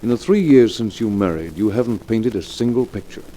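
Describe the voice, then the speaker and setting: An actor playing a concerned, loyal best friend in 1940s radio drama, earnest and gently persuasive. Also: male voice, on the low side, expressive, fairly quick, natural voice. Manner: earnest, advising. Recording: faintly noisy 1940s radio recording.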